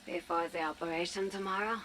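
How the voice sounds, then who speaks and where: An actress playing a determined young woman with powers, speaking softly and often half-whispered. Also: on the low side, steady tone, a little fast, slight background noise, close to an everyday voice, poor audio.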